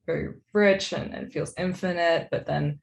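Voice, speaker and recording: A woman's voice: on the low side, fairly even intonation, normal speed, gravelly. A woman talking informally on a video call, a little hesitant and apologetic, often saying "like". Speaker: comics artist, event presenter. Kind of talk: casual, informal talk. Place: virtual event over video call.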